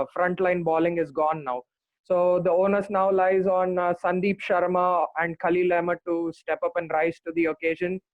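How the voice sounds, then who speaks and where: A man with a high voice comes through a dry, tinny, phone-like line. He speaks as a podcast guest, earnest and steady, and often stops on "uh" between thoughts. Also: no echo. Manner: earnest, many "uh" pauses. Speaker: podcast guest, cricket fan. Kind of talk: casual podcast chat.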